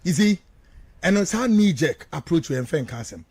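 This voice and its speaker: A man's voice: on the high side, sing-song, moderate pace, creaky, a little mellow, husky, no room sound. A Ghanaian man giving emphatic, repetitive political commentary as he switches between two languages, full of rhetorical turns.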